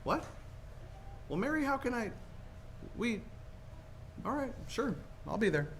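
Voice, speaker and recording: A man's voice: extremely high pitch, sing-song, a little slow, vocal fry, background noise, exaggerated voice. A man acting an earnest, hesitant young family man in a 1940s-style radio play, stammering in a folksy way. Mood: flustered.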